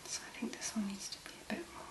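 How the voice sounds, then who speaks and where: A woman speaking very softly and breathily in a gentle, unhurried ASMR manner as she talks through a demonstration. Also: reverberant. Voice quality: whispery, breathy. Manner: gentle, unhurried, murmuring to the listener. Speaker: ASMR video host. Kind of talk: soft-spoken ASMR make-up demonstration.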